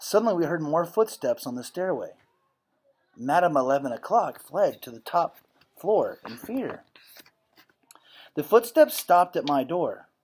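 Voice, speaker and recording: Husky man reading a storybook aloud. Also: a little high, unhurried, long pauses. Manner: expressive storytelling with dramatized dialogue. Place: indoor classroom. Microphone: webcam or laptop at desk distance.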